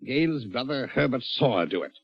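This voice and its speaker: An actor playing a blustering, defensive prison governor with a British accent and an emphatic delivery, in vintage radio drama style. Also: male voice, medium pitch, animated, fairly quick, breathy edge. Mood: exasperated. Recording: muffled old radio recording.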